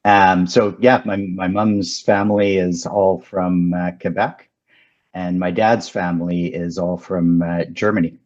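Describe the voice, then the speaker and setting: A man in his fifties with a very low voice whose first language is French. He talks in a measured, thoughtful way, pausing on ums and restarting his sentences. The sound is muffled and lo-fi. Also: little pitch movement, a little mellow. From Canada. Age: 50s. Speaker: guest, company founder. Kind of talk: casual interview chat.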